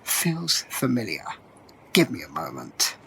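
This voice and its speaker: A man's voice: lowish voice, huge pitch swings, unhurried, vocal fry, background noise, exaggerated voice. A man playing a confident, wry warrior in a fantasy audio drama, with a self-assured manner. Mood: wary.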